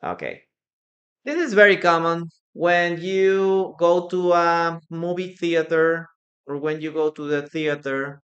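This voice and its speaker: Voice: medium pitch, steady tone, a bit bright, highs cut off. An English teacher whose first language is Spanish, giving an encouraging live online class and repeating phrases for the students. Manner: slow, careful delivery.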